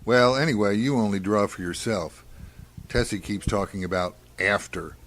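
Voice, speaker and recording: Man playing a low-voiced, uneasy farmer father. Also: moderate pace, a bit bright. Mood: troubled, insistent. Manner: rustic, folksy speech. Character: uneasy plainspoken farmer father, doubting village tradition. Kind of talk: radio drama acting.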